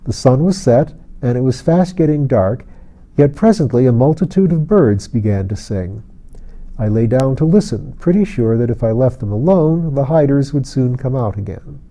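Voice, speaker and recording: A man reading a fantasy novel aloud as an audiobook narrator, in a measured storytelling manner. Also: mid-range voice, expressive, mellow.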